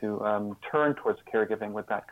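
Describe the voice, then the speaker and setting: A man speaking as an interview guest, explaining his ideas in a reflective, earnest way, often halting and repeating words. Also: fairly low pitch, no echo. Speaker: interview guest and author on caregiving. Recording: slightly phone-like, band-limited line.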